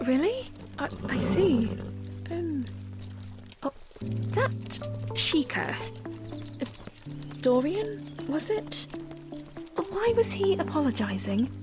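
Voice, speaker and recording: A woman playing a gentle, earnest young princess in a fantasy audio drama, with a hesitant, measured manner. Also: high voice, animated. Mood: hesitant, curious. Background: music.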